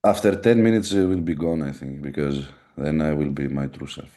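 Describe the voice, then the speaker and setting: Deep, dark-voiced Greek-accented man. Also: steady tone, brisk. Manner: blunt, profane, candid. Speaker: podcast host. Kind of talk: casual live chat.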